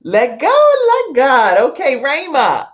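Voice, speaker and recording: A woman hosting a talk show in an animated, conversational style, effusive and emphatic, repeating phrases for emphasis. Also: high voice, slow pace, dull audio.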